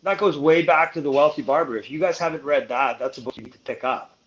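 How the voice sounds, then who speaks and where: This is a man on a casual podcast with a voice that sits a little above the usual male range. He explains his points emphatically, stretching out the words he wants to land. He keeps returning to the listener with a quick "right?". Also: on the high side, fairly bright tone, dull audio. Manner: emphatic and explanatory, often slowing to stress points. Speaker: podcast guest giving money advice.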